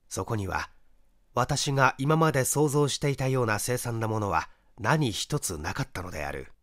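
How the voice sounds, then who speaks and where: Male narrator for mystery audiobook: mid-pitched, highly expressive range, close dry recording. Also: dry recording. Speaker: audiobook reader, stage actor. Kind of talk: dramatic scripted narration of a mystery story.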